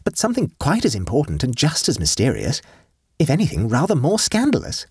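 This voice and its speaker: A man's voice: mid-range voice, huge pitch swings, a little fast, darkish tone, slightly rough, no echo, clean studio sound, voice pushed up, heavily acted. A man reading an audiobook and voicing a mischievous, fanciful sixteen-year-old girl in an airy, conspiratorial way. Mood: teasing.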